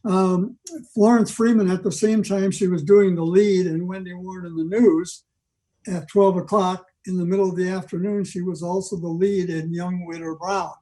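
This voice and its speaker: Older man, high-pitched mellow voice. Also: steady tone, moderate pace, rough recording. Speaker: club member giving a presentation. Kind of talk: informal talk on old radio history. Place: video meeting from home. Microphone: video call.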